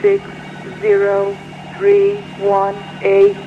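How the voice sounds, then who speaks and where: A woman announcer reading strings of numbers in a flat, halting, digit-by-digit way over shortwave radio. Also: mid-range voice. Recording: noisy tinny archive shortwave radio recording.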